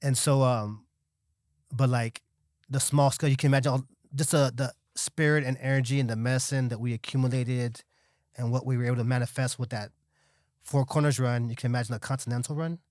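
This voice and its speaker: A man's voice: medium pitch, steady tone, brisk, darkish tone, no echo, clean studio sound. A man in a casual podcast conversation, talking in a loose, slangy way. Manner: slangy, peppered with dude and bro. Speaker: podcast guest.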